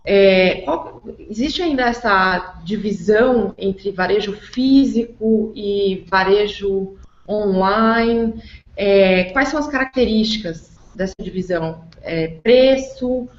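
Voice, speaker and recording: A woman with a Brazilian accent asking questions spontaneously on a conference call, halting and repeating words. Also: mid-range voice. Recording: echoey, dull conference call audio.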